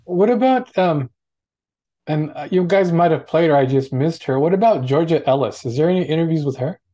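Mellow higher-voiced man asking questions. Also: expressive, brisk. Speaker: participant asking questions on a group call. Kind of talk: casual chat.

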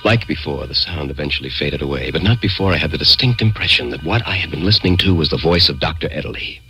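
Radio mystery hero telling his story, deliberate and suspenseful, deep voice, swings around a lot. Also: expressive. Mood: suspenseful, absorbed. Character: curious, insistent man narrating his eerie mystery. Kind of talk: dramatic first-person radio mystery narration. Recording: radio drama with music behind.

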